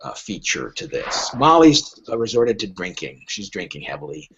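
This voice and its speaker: Animated, frank middle-aged man, dull lo-fi sound. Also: sits low, normal speed, slight background noise. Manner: frank, emphatic, acts out imagined dialogue. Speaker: literary agent interviewed as a podcast guest. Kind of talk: conversational interview answers. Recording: dull lo-fi podcast audio.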